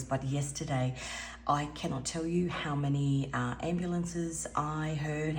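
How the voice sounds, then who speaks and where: A woman with a raspy voice giving an earnest, meandering spiritual card reading at home as an intuitive tarot reader. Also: extremely low pitch, darkish tone. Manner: meandering, earnest, with frequent restarts. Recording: echoey room with faint background noise.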